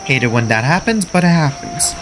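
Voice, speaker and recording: A man's voice: on the high side, animated, fairly quick, background noise. A man in his thirties with a nasal voice, giving easygoing, casual commentary as he streams a game. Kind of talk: casual let's play commentary. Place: small room indoors. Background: game music and sounds.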